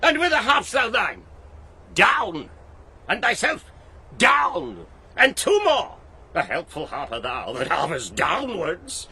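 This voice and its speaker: An actor playing a wild, witty, mocking court jester in an audio drama, declaiming archaic verse theatrically. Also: male voice, big pitch swings, slow, gravelly, bright, forceful tone, full character voice. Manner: swooping between low musing and shrill cries.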